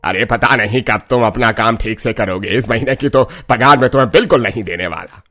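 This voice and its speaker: Man as a bright, forceful, blunt tradesman. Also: mid-range voice, a little fast. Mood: angry.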